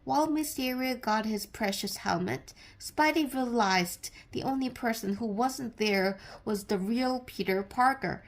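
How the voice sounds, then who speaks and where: Slow, deliberate woman reading a storybook. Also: a little high. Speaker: storybook reader. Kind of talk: scripted children's read-aloud narration.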